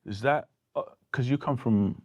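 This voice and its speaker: A man hosting a podcast interview, asking questions in a quick, casual way and swearing freely. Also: mid-range voice, a little mellow, no room sound, dull audio. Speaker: podcast host.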